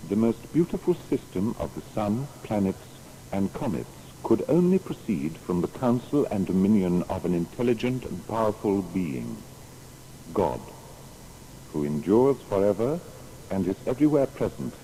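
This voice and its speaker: An actor playing a learned, solemn natural philosopher from history, reading his writings formally and deliberately in an audio biography. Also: on the low side, very animated, warm tone, slight background noise, rough recording. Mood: solemn, reverent.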